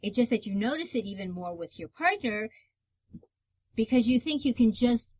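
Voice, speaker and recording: A woman who speaks slowly and in a measured way, co-hosting a live-streamed relationship talk show. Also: a little high, animated, darkish tone, husky, dry recording.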